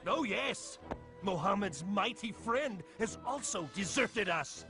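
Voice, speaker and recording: An actor playing a scornful, excitable Meccan nobleman in a cartoon, theatrical and mocking. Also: high-pitched, slow pace, exaggerated voice. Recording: background music under the voice.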